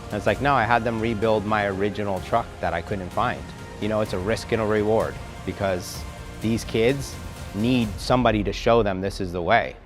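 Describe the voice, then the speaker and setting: A man's voice: on the low side, fairly even intonation, normal speed, over music. A man who founded a car academy, speaking in a casual interview with loose, filler-heavy talk. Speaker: academy founder and shop owner.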